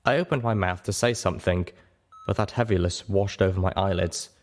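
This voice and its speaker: A man narrating a story in the first person with an expressive, theatrical, dramatic delivery. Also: sits low, a little fast, background noise. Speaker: audiobook narrator.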